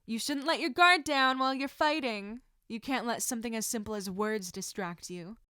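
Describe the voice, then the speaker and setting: A woman voicing an encouraging adult female martial arts teacher in measured, instructive story dialogue. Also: on the high side, sharp tone, clear, resonant tone, no echo, studio quality. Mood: amused, encouraging. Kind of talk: audiobook-style character dialogue.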